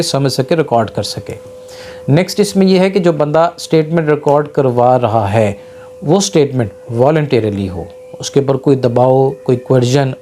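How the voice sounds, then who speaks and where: Mellow-voiced Pakistani man giving a law lecture. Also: fairly high pitch, slight background noise. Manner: explanatory, repeats and rephrases key points. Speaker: lawyer teaching law. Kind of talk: informal law lecture mixing Urdu with English legal terms.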